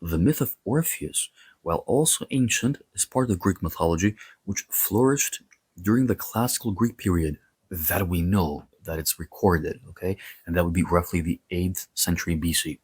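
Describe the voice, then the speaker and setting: Male lecturer, low husky voice, dry close sound, explanatory delivery in measured short phrases with informal asides. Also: darkish tone, no echo. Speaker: host of a history and mythology series. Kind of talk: scripted lecture with casual asides.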